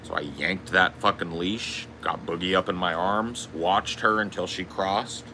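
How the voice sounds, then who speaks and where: A man with a booming voice, telling stories in a casual, profane podcast chat. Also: a little slow, bright, forceful tone, slight background noise. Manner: profane, storytelling. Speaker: podcast host.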